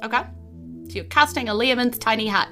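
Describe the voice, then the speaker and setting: Woman, New Zealand accent, game master, high bright voice, playful casual narration, encouraging. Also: fairly quick, soft background music. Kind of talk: casual tabletop roleplay narration.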